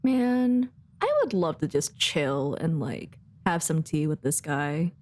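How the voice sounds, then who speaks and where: A woman's voice: deep voice, animated, takes it slowly, darkish tone. A woman giving casual, joking, laid-back commentary as she streams a game.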